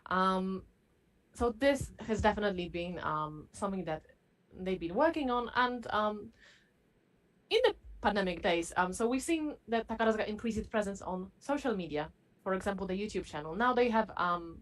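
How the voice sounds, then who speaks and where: Halting woman panel speaker. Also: medium pitch, animated, low-quality recording. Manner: halting, frequent hesitations. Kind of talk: academic panel discussion.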